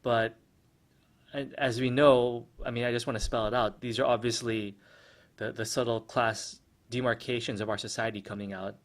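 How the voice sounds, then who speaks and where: A man's voice: mid-range voice, fairly even intonation, normal speed. Hesitant man musing on a podcast. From Philippines. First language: American English first language. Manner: hesitant, many restarts and fillers, reflective. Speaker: podcast host. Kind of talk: casual solo podcast commentary.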